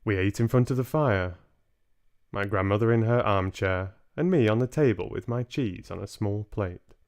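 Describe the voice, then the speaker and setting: A man in his twenties reading a children's story aloud in an expressive audiobook style. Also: on the low side, moderate pace. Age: 20s. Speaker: reader and book club host. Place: small home room, indoors.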